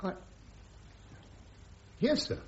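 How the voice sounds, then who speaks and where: An actor playing a guarded, respectful British army major on trial in a radio drama. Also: male voice, very slow, a bit bright, a little hiss or hum. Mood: uneasy, respectful. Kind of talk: radio courtroom drama acting. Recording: echoey, dull radio drama recording.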